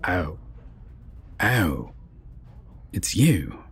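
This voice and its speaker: A man playing a menacing, condescending vampire captor in a roleplay audio drama, talking in a mocking, deliberate way with husky, breathy whispers. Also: deep voice, very animated, exaggerated voice. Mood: cool, mocking. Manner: slow, deliberate, mocking, formal phrasing.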